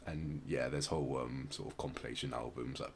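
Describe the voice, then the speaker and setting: A man's voice: rumbling low, steady tone, a little fast, vocal fry, a little hiss or hum. A man hosting a podcast, casually explaining music in a hesitant, rambling way. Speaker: podcast host.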